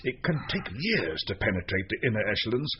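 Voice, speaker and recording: An actor playing a pompous, formal British secret service officer in a radio drama. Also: male voice, on the low side, sing-song, a little fast. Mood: earnest, authoritative. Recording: muffled radio recording with hiss.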